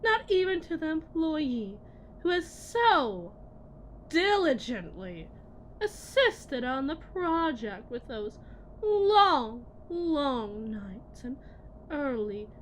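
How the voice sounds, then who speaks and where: A woman playing a cold, condescending lead technician in a scripted ASMR roleplay, speaking in a measured way that drifts into whispers. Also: high-pitched, very animated, a little hiss or hum, heavily acted, rough recording. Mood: stern, condescending. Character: cold, condescending female lead technician at shady tech company.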